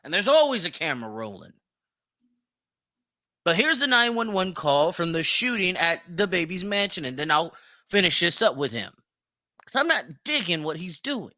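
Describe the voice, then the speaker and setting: An American man with a high, very forceful voice hosts a solo commentary show. His pitch moves around a lot as he talks. He is blunt, slangy and profane, leaning on repetition and rhetorical questions. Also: big pitch swings, moderate pace. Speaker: podcast host. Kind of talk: opinionated solo commentary on celebrity news.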